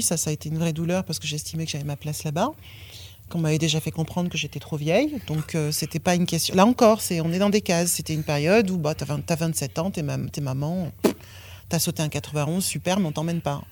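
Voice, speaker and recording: A woman's voice: sits low, a little mellow. A French woman in her fifties, a former athlete, telling her own story in a frank, blunt way and swearing now and then. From France. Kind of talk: candid personal testimony.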